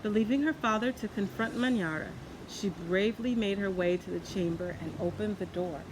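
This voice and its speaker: A woman's voice: mid-range voice, moderate pace. Woman reading a storybook over background noise. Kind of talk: children's storybook narration. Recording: clearly noisy background.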